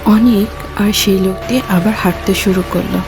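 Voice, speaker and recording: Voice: medium pitch, steady tone, normal speed. A narrator reading a scripted horror story. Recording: loud music under the voice. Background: loud background music.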